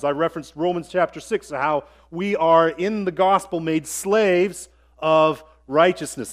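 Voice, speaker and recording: High-voiced man preaching a sermon. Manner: conversational, with small asides and jokes. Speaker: pastor.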